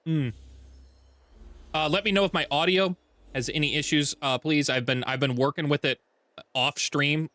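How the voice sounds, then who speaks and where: A man with a deep voice streaming a strategy game from home, chatting casually, thinking aloud and correcting himself as he plays. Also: steady tone, moderate pace, long pauses, bright, forceful tone, no echo. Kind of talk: casual gameplay livestream chat. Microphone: desk mic with noise gate.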